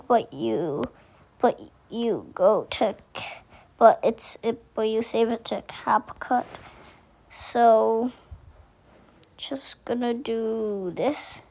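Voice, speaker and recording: A woman giving a casual how-to tutorial, speaking haltingly and repeating herself as she thinks aloud. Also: on the high side, mellow. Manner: halting, repetitive, thinking aloud while demonstrating. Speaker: tutorial host.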